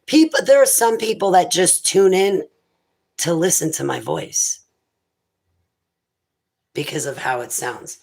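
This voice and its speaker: A woman with a raspy voice chats on her live stream in a loose, wandering way. She takes her time over each thought. Her manner is unhurried and conversational. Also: lowish voice, big pitch swings, a little mellow. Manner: rambling, faith-filled musings. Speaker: internet radio host. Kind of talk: casual livestream chat.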